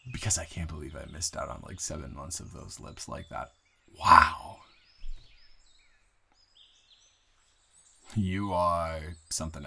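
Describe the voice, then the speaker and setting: A man playing a nervous, smitten new guy in town in an improvised romantic audio roleplay, halting and playfully teasing. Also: low-pitched, bright, forceful tone, a little hiss or hum, full character voice.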